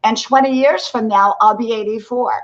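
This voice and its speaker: A woman's voice: on the high side, steady tone, moderate pace, highs cut off. Chatty woman in her sixties. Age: 60s. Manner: rambling, full of "you know" fillers. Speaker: livestream host. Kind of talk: casual motivational chat.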